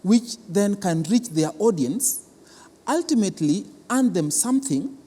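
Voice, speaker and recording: A man with a Kenyan accent explaining a programme in an interview as an official, deliberate and repeating words for emphasis. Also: high-pitched, lively intonation, warm tone. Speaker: cultural centre official being interviewed. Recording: reverberant hall.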